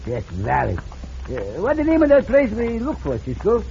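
An actor in an old radio western playing a childlike, excitable Mexican sidekick who speaks broken English and exclaims a lot. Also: male voice, high voice, normal speed, vocal fry, heavily acted. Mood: weary, puzzled. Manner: broken English, exclaiming, refers to himself by name. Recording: muffled, noisy vintage radio recording.

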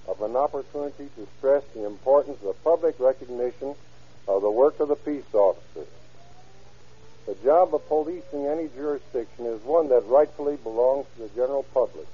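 A man giving a stiff, formal, measured address as a sheriff's officer, reading from a script. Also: medium pitch, breathy edge, phone-quality sound. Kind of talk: formal scripted speech read aloud. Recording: band-limited old radio broadcast recording.

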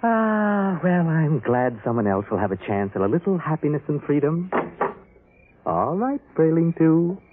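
A man's voice: a little high, sing-song, unhurried, narrow, tinny audio, background noise. A man playing a smug, scheming, genial husband in an old-time radio drama, with a breezy theatrical manner. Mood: brisk, impatient. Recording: band-limited old radio broadcast recording.

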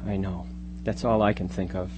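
A man's voice: low-pitched, level tone, normal speed, natural voice. A man playing an anxious, questioning sixteen-year-old small-town boy in a live radio drama. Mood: worried, questioning. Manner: worried, questioning. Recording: hum and loud background noise.

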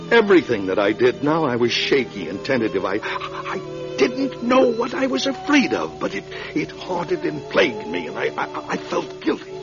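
An actor playing a troubled lawyer running for mayor in a classic radio drama, halting and agitated, repeating words for emphasis. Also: male voice, mid-range voice. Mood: shaken, haunted. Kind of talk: classic radio drama acting, first-person narration and dialogue. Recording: dull vintage radio recording with music behind.

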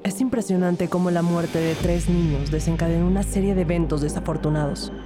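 Low grave female investigator narrating, played by an actress. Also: fairly even intonation, over music, lightly acted. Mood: somber, foreboding. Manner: grave, measured. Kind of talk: scripted narration in Spanish-language audio drama.

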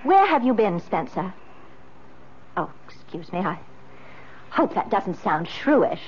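An actress playing a wronged, genteel wife in a 1970s radio drama, speaking with formal, pointed reproach and dramatic pleading. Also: female voice, mid-range voice, big pitch swings, measured pace, darkish tone, slight background noise. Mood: icy reproach. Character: Margaret, wronged, genteel wife, wounded and demanding. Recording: muffled vintage radio broadcast.

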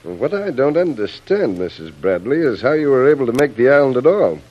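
An actor playing a suspicious island boss in a vintage radio drama, questioning with smooth courtesy and a probing edge. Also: male voice, mid-range voice, very animated, brisk. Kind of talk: 1940s radio acting. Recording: muffled vintage radio recording.

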